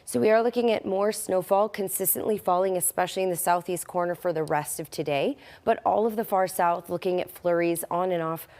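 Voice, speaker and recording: A woman's voice: lowish voice, brisk, hardly any pauses, a little mellow. A woman who is losing her voice, presenting an unscripted weather report.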